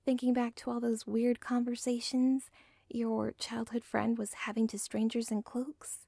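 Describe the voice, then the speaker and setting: Actress for obsessive young villain's daughter; intimate whisper, slow and breathy, dead-dry mic. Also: female voice, a little high, level tone, dark tone, no room sound. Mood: pleading. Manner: intimate, pleading, self-aware. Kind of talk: ASMR audio role play.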